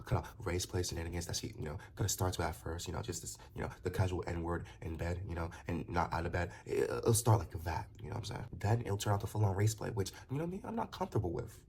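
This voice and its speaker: A man's voice: low-pitched, animated, fast talker, hardly any pauses, a little mellow, a little hiss or hum. A young man vlogging to camera in a casual, rambling way. He stammers and restarts often, leans on fillers, and turns heated and emphatic as he argues his point. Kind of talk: casual talking-to-camera commentary.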